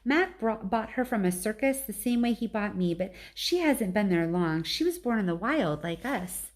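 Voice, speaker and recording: A woman's voice: medium pitch, lively intonation, normal speed. A woman reading a children's storybook aloud as a teacher, narrating chapter text with brief asides.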